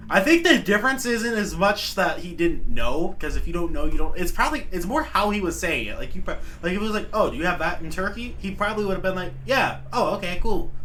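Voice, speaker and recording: A man's voice: high-pitched, sharp tone, slight background noise. An American man hosting a reaction video, talking loosely in slang, swearing and laughing often. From United States. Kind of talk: casual reaction commentary.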